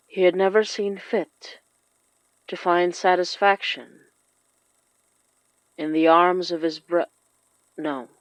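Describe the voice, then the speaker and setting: Slow-paced woman narrating horror fiction. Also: low voice, dry recording, poor audio. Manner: measured, deliberate, with dramatic pauses. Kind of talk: scripted dramatic story narration.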